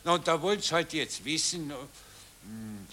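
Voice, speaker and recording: Man as an old general: hesitant, evasive, mumbling, bright tone. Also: on the high side, animated, moderate pace. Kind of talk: radio play acting.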